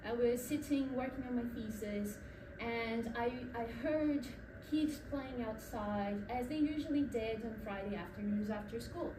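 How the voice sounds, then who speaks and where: A young Brazilian academic woman gives a conference presentation with a Brazilian accent touched by New Zealand vowels. Her voice sits a little high, and she often pauses on ums and restarts as she tells her story. She is picked up from across an echoey room, with noise in the background. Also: distant mic. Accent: Brazilian with a New Zealand tinge. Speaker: academic speaker. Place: lecture room.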